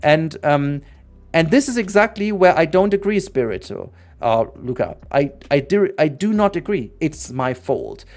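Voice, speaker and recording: A man's voice: a little high, big pitch swings, unhurried, a little mellow. Earnest non-native English-speaking man streaming. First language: not English. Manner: earnest, rambling, frequent restarts. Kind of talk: casual livestream chat.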